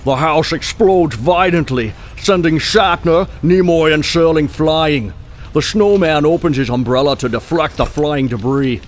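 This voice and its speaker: A man with a slightly high voice narrates a scripted audio play. There is faint noise in the background. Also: unhurried.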